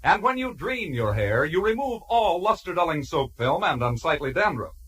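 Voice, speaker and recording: A man's voice: fairly high pitch, sing-song, moderate pace, fairly bright tone, husky, full character voice. A man playing a gushing 1940s-style radio commercial announcer, selling with emphatic enthusiasm. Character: gushing old-time radio announcer pitching a product.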